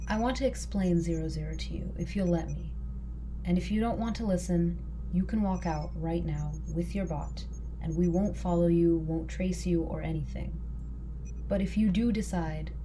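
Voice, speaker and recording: A woman playing an earnest, composed rebel leader in an audio drama, speaking in measured, solemn, persuasive speeches. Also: fairly low pitch, warm tone, a little hiss or hum, lightly acted. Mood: calm, persuasive.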